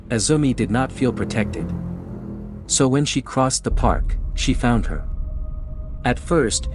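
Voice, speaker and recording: A man from Mexico with a Mexican accent, Spanish his first language, slowly narrating a horror short story. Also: mid-range voice. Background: faint music.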